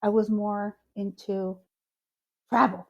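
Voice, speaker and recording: A woman from Mexico, a podcast guest telling her story in casual, animated chat and slipping in Spanish phrases. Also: mid-range voice, slow, dull audio.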